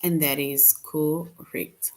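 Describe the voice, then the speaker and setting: A woman teaching a children's grammar lesson online, reading out answer choices slowly and patiently. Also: sits low, flat delivery, darkish tone. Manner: slow, patient, reads answer options aloud.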